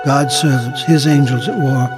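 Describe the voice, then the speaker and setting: A wise, gentle old grandfather played by a man in an audio drama. He speaks tenderly and earnestly in measured phrases at a medium pitch. Music plays clearly beneath him. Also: steady tone, natural voice. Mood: solemn. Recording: music under the voice.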